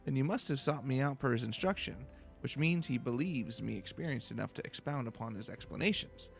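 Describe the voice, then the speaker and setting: Self-important male research student, game dialogue, performed by a man: florid, excitable, middle register with some fry. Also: medium pitch, a little fast, soft background music. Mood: indignant, proud. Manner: grandiose, alliterative, theatrical. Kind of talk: video game dialogue read aloud.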